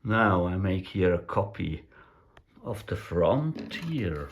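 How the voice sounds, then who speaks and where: A man with a non-native English accent casually narrating a hands-on demonstration as a radio hobbyist, speaking haltingly in short, simple phrases. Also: low-pitched, sing-song. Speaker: radio repair hobbyist presenting.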